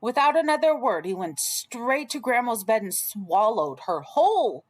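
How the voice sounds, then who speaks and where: A woman reading a children's story aloud as an expressive storyteller. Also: a little high, unhurried, husky.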